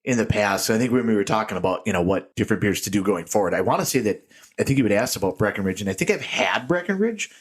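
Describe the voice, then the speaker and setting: A man with a low, husky voice co-hosts a laid-back beer podcast. He rambles through jokey tangents and laughs often. The sound is tinny and echoey, from a small home studio room. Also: lively intonation, fast. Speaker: podcast co-host. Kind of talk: casual chat.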